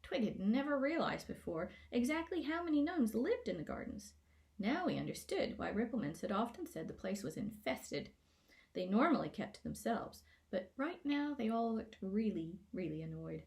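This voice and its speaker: A woman narrating a scripted children's fantasy story in a playful, expressive way, as an author reading aloud. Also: on the high side, moderate pace. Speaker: author reading own book.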